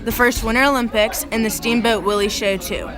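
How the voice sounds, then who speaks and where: A woman's voice: fairly high pitch, fairly even intonation, fairly quick, crowd noise. A woman co-hosting a radio show, reading a scripted look back at the decade's events. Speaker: radio co-host. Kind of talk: scripted retrospective announcing.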